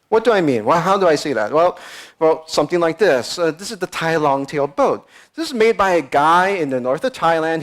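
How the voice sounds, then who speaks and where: A man from the United States, a startup founder giving a conversational conference talk and acting out quoted voices. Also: fairly high pitch. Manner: conversational, repeats words, acts out quoted voices.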